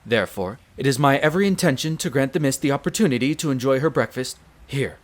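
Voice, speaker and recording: A man's voice: mid-range voice, animated, fast talker, close to an everyday voice. A man playing a dutiful wolf butler in an audio roleplay, very formal and deferential, a little nervous. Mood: careful, deferential. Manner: very formal, deferential, hesitant when flustered. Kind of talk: scripted audio roleplay acting.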